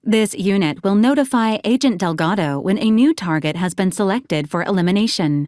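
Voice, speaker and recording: Game robot companion, played by a woman: mid-pitched female voice, clinical, officious, clipped formal delivery, very dry sound. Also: no echo, natural voice. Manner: clipped, formal, deadpan, machine-like announcements. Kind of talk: scripted video game character lines.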